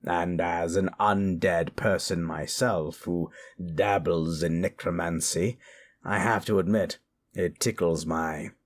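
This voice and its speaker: A man hosting scary stories as an undead necromancer persona, speaking theatrically and deliberately with a husky, breathy voice. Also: deep voice, fairly even intonation. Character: undead necromancer storyteller persona, wryly amused. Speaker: host reading scary stories. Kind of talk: theatrical horror storytelling and commentary.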